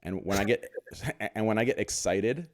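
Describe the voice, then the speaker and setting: A man with a deep voice, hosting a casual podcast chat, talking in an excitable, heated way and swearing a lot. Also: moderate pace. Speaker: podcast host.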